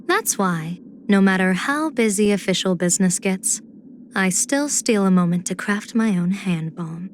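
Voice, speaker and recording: A woman's voice: medium pitch, expressive, a little slow, slight background noise, close to an everyday voice. A woman voicing a playful, confident general and diviner in a video game, with poised, theatrical, teasing phrasing. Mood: assured. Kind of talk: video game character voice lines.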